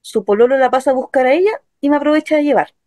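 High-pitched young Chilean woman acted by a woman. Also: racing, warm tone, dry recording, clean studio sound, lightly acted. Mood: light, chatty. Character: young working-class daughter recounting her assault, wounded, bitter. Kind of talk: dramatic first-person radio narration. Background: music.

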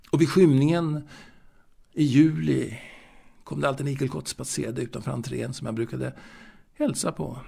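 An actor playing an old man wistfully reminiscing about his boyhood in a halting, musing audio drama monologue, with a gravelly voice. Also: a little high. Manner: halting, musing, with hesitations and repeated words.

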